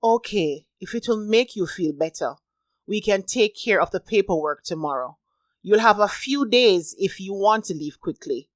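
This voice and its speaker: A woman's voice: mid-range voice, animated, normal speed, no echo, natural voice. A woman voicing a protective, motherly woman friend who is indignant and emphatic. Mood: soothing.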